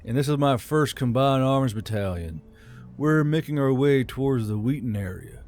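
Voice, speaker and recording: A man's voice: medium pitch, normal speed, darkish tone, faint music behind, natural voice. A man voicing a commanding, good-humoured military warlord in an audiobook, terse but amiable.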